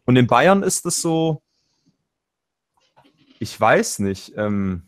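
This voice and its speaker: A man's voice: medium pitch, very animated, takes it slowly. Man, Swabian accent, casual panel chat, video call, rambling, restarts sentences. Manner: rambling, self-interrupting, acts out quotes. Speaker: panel guest.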